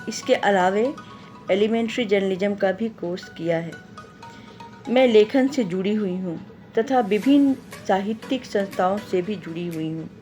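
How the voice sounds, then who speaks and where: A woman radio presenter from Bihar with a mid-pitched voice, giving a self-introduction. She is a little halting and sometimes restarts a word, with faint music in the recording. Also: a little mellow. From Bihar, India. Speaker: radio presenter and literary critic.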